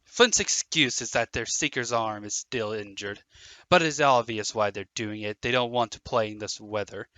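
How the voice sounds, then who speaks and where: A man's voice: fairly low pitch, normal speed, sharp tone, no echo. A man playing an intense, driven teenage boy sports captain in an audio drama, urgent and emphatic. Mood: livid, urgent.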